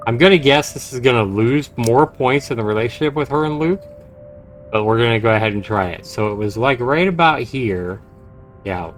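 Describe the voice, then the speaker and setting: A man livestreaming a game, commenting and reading visual novel text aloud in an expressive, dramatic way. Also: medium pitch, moderate pace, faint music behind. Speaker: game streamer.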